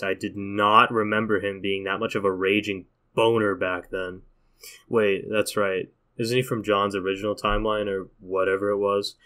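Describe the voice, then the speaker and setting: A man acts out a sardonic young cool guy for a cartoon dub. He talks in a lowish voice with a casual, deadpan delivery. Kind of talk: animated comic dub voice acting.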